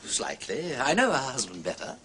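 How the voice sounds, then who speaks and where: An actor playing a suave, ironic gentleman sleuth in a radio drama, teasing and politely inquisitive. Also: mid-range voice, wildly swooping pitch, normal speed, slight background noise. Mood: probing, amused. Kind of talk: British radio drama acting.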